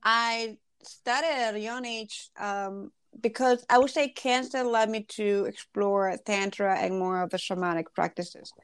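A woman's voice: mid-range voice, measured pace, hardly any pauses, smooth, dry recording. A woman in her forties from Ecuador, a relationship and intimacy coach, speaking earnestly and reflectively as a podcast guest. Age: 40s.

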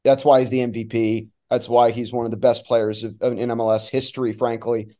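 A man's voice: medium pitch, little pitch movement, a little fast, dark tone. A man talking as a sports announcer guest, giving emphatic, opinionated analysis in a conversational way.

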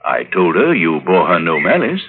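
An actor playing a meek, flattering married lawyer with courtly, deferential manners in an old-time radio drama. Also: male voice, deep voice, moderate pace, a little hiss or hum. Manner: courtly, deferential, clipped replies. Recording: muffled, phone-like vintage radio recording.

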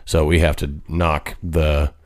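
A man with a very deep, low voice talks in a relaxed, drawling way. He fills his sentences with "like" and "you know". The recording is dry and close, with no room sound. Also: takes it slowly, dry recording. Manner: laid-back, drawling, says "like" and "you know" a lot. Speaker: country singer, guest. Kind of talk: casual chat.

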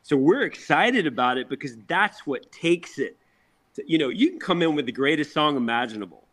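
A man's voice: on the high side, sing-song, fairly quick, a bit bright. A middle-aged man from a rock band chatting casually in an interview, enthusiastic and slangy, often repeating his words.

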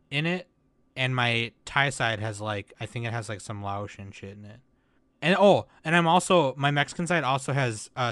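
A man's voice: mid-range voice, a bit bright, no echo. A young man hosting a podcast in a laid-back way, swearing casually and laughing often. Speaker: podcast host.

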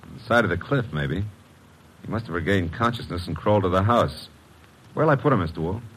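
An American actor playing a plainspoken, blunt detective's assistant in a classic radio mystery. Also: male voice, low voice, animated, fairly quick, fairly bright tone. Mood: matter-of-fact. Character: brisk, plainspoken male detective's assistant, a tough legman. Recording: old noisy radio recording.

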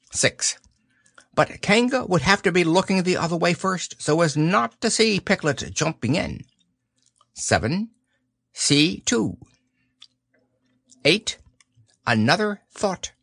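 A fussy, self-important rabbit who lays out his scheme in a brisk, lively voice pitched high, as a man puts him on. The sound is close and dry. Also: vocal fry, no echo, heavily acted. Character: bossy, fussy male rabbit planner.

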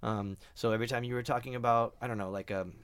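A man's voice: medium pitch, steady tone, fast, clear, resonant tone, no echo. A man chatting casually on a podcast, rambling with lots of fillers and restarts and swearing now and then. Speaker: band member, podcast co-host. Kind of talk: casual chat.

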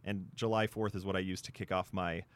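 A low-voiced American man co-hosts a sports radio show. He talks in a casual, hesitant way, circling through his thoughts with frequent "you know" asides. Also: little pitch movement, brisk, clean tone. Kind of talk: casual chat.

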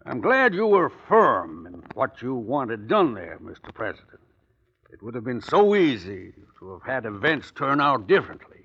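Husky hearty old general, radio drama. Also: male voice, mid-range voice, big pitch swings, normal speed, fairly bright tone, full character voice. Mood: friendly, curious. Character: hearty, loyal older American army general. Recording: dull vintage radio recording.

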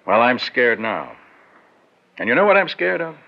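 A man's voice: mid-range voice, sing-song, normal speed, gravelly. An actor playing a brusque, domineering businessman with clipped, commanding delivery in a 1960s radio drama. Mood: uneasy, confiding. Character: domineering, brusque, successful businessman, secretly nervous. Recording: muffled vintage radio recording.

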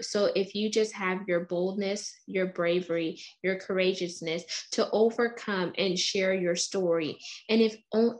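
A woman host speaks in a mid-range voice with motivational fervor. She builds her points in rhythmic, preachy runs of phrases. She sounds enthusiastic throughout. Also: medium pitch. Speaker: interview host. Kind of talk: motivational interview talk.

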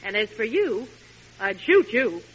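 An actress playing a sharp, wryly possessive housewife with a teasing, sardonic manner in an old-time radio sitcom. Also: female voice, a little high, big pitch swings, unhurried. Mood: mock jealous, teasing. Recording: muffled, hissy vintage radio broadcast.

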